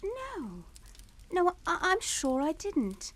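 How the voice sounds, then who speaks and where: A timid, distraught young Englishwoman in a radio thriller. Her voice is high, and she speaks in halting, tremulous phrases as a daughter under pressure. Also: wildly swooping pitch, dry recording, heavily acted. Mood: frightened, pleading. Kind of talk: radio suspense drama acting.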